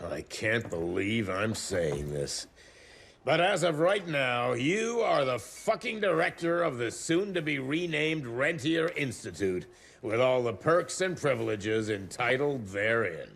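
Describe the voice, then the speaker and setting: An actor playing a pompous, sarcastic, foul-mouthed American government secretary in a theatrical video game style. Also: male voice, medium pitch, fairly bright tone, husky, full character voice. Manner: sarcastic, swearing, ranting bluster.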